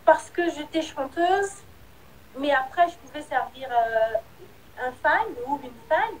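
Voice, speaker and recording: A woman's voice: high voice, animated, slow, a little hiss or hum, rough recording. A woman, a singer answering interview questions in a candid, hesitant way that often trails off. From Republic of the Congo. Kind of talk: casual interview.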